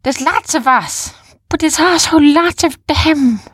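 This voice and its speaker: A woman's voice: sits high, takes it slowly, dry recording, pitched above the performer's own voice. A woman voicing a brave female leader of small furry creatures in a children's story, with an impassioned, declamatory manner. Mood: determined, uncertain.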